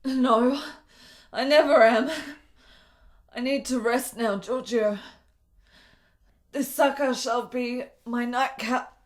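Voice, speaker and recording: Sickly, brooding woman played by an actress, period radio play. High voice, very slow and deliberate, devoted and philosophical. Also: steady tone. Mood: weary, tender. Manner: slow, deliberate, literary phrasing. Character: gravely ill, melancholy woman, intense, philosophical, devoted. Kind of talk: period radio drama acting.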